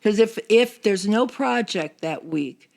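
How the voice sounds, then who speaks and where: Casting: mature woman, noticeably creaky voice, mid pitch, casual guest conversation. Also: lively intonation, slow, darkish tone. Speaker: podcast guest. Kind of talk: casual chat.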